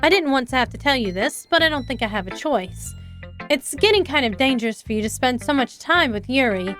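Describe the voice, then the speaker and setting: A woman's voice: high-pitched, lively intonation, a little fast, fairly bright tone, soft background music. A woman reading as a poised schoolgirl club president. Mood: persuasive. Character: confident, composed teenage club president girl. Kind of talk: reading visual novel dialogue aloud.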